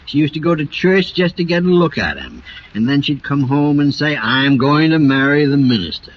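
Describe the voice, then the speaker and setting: A man playing a kindly older small-town mayor, gentle and fatherly, in 1940s radio drama style. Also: on the high side, big pitch swings, normal speed. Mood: gentle, amused. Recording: muffled, hissy old radio recording.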